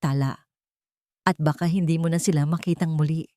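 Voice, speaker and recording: A woman's voice: low-pitched, steady tone, moderate pace, dark tone, no echo, clean studio sound, natural voice. A woman voicing a mocking, threatening female accomplice in a narrated drama. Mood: taunting, menacing. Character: menacing, taunting woman accomplice making threats by phone. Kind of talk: acted dialogue in a narrated drama.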